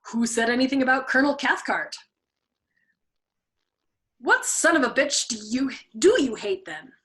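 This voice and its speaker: A wry, paranoid young bombardier, voiced by a woman reading a novel aloud. He speaks calmly and innocently, with a deadpan edge. The voice is bright and lively, in a storybook reading style. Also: high-pitched, unhurried.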